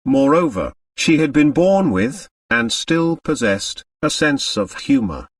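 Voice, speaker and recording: A man reads a novel aloud as an audiobook narrator. His delivery is expressive, with wide melodic movement. The recording is dry and sits slightly back from the microphone. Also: medium pitch, measured pace, no echo, distant mic. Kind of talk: expressive scripted story narration.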